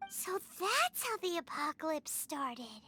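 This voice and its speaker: Very high-pitched childlike goddess. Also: female voice, very animated, slow pace, bright, forceful tone, slight background noise, full character voice. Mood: probing. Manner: earnest, articulate. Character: small childlike goddess, wise, earnest and gentle. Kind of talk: video game character acting. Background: game music.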